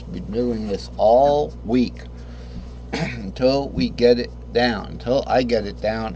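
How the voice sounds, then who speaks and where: A man teaching a casual guitar lesson, hoarse because he is losing his voice, who rambles, corrects himself and often clears his throat. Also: lowish voice, darkish tone, a little hiss or hum, rough recording. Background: guitar playing.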